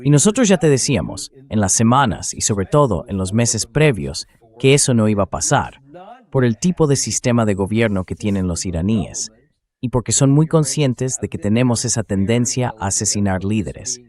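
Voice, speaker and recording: A man's voice: on the low side, expressive, normal speed, a little mellow. A man's synthetic dubbing voice delivering spoken political commentary as the host of a show.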